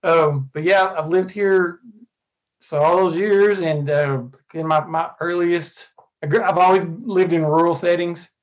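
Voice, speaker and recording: A man in his fifties with an Arkansas accent, a podcast guest speaking thoughtfully and in measured phrases with frequent pauses. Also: a little high, fairly even intonation, poor audio. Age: 50s. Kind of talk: reflective podcast conversation.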